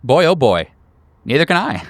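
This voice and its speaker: Man playing a flighty, high-pitched dithering writer. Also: big pitch swings, normal speed, dry recording. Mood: excited, dithering. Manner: hesitant, repetitive, fussing over words. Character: flighty, eager, dithering male bachelor writer.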